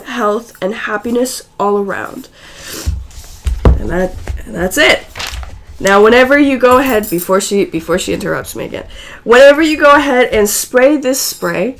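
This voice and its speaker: Raspy, hoarse woman explaining casually. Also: on the high side. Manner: chatty, loose, self-correcting. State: hoarse and unwell. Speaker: guest co-presenter.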